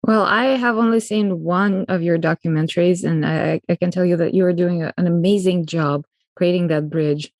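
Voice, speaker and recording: A woman's voice: on the low side, normal speed, darkish tone, no echo. A woman hosting an interview podcast, chatting in a friendly way with some fillers and easy laughs. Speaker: podcast host. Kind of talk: casual interview talk.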